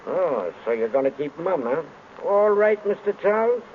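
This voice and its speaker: An actor playing a gruff, suspicious homicide inspector who questions bluntly in plain street grammar, in 1930s radio drama style. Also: male voice, high-pitched, sing-song, moderate pace. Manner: blunt, interrogating, ungrammatical. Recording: tinny, noisy vintage radio recording.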